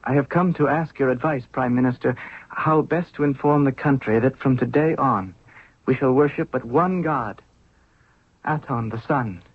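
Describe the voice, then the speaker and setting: A man's voice: mid-range voice, moderate pace, breathy edge, no room sound, close to an everyday voice. A man playing an idealistic, fervent young pharaoh in a 1970s radio drama, speaking in an earnest, declamatory way. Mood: fervent resolve. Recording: tinny narrow-band old radio recording.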